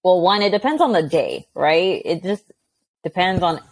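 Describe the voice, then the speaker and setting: A young adult woman with a notably low voice talks animatedly as a podcast guest. She chats freely about her teaching and acts out little quoted exchanges. The sound is close and dry. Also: animated, normal speed, dry recording, low-quality recording. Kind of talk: casual interview conversation.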